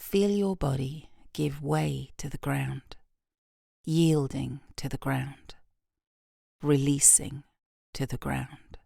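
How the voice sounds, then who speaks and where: British lady doing soft guided relaxation, really deep mellow voice, bit of creak, long pauses. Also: steady tone, no echo, studio quality. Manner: gentle, unhurried, with long pauses. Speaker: yoga nidra guide. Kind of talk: guided meditation, slow and soothing.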